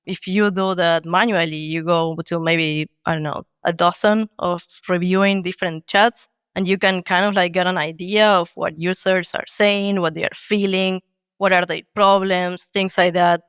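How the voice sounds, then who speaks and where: A woman's voice: fairly low pitch, fairly even intonation, normal speed, a bit bright, smooth, no echo, studio quality. A woman from Argentina, a design leader, answering interview questions in a thoughtful, explanatory way with frequent fillers.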